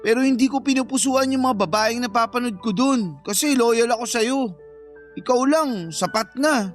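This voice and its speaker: A Filipino actor playing a slow-witted, jealous working-class boyfriend in an audio drama, whiny, plain-spoken and accusing. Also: male voice, extremely high pitch, faint music behind. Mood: sweet. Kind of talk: Filipino radio-style drama acting.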